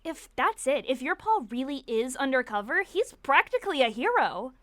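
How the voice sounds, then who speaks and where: Woman acting a high-voiced, earnest teenage girl. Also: moderate pace, no echo. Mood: concerned. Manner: earnest, expressive. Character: caring, sharp-witted teenage girl, loyal confidante. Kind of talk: nostalgic period audio drama acting.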